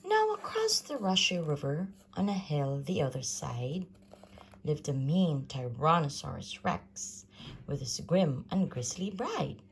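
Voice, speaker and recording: A woman reading a rhyming children's story aloud in a theatrical, sing-song, expressive way. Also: deep voice, unhurried, creaky, a little hiss or hum, low-quality recording. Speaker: storybook reader.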